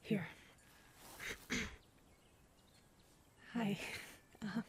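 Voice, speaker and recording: A woman playing a big, earnest predatory alien woman in an intimate audio roleplay, soft and hesitant, often murmuring. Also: extremely slow, a little hiss or hum. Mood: bashful, hopeful. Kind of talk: audio roleplay acting, addressed to listener.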